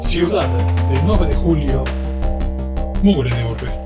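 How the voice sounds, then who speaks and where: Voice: medium pitch, animated, takes it slowly. An announcer reading a dramatic, scripted show introduction. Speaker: programme announcer. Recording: loud music over the voice. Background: loud intro music.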